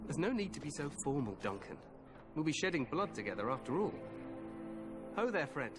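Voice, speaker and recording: A man's voice: on the high side, very animated, a little fast. An actor playing a cheerfully overconfident king eager for glory in a fantasy game, with a hearty, regal yet informal manner. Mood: jovial. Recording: orchestral game music behind voice. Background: music.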